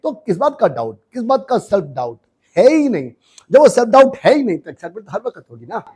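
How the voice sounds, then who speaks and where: A man, a business coach telling his life story in an interview, switching between Hindi and English with emphatic, animated delivery. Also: sits high, brisk, breathy edge. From India. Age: 60s. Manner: animated, emphatic, mixes languages.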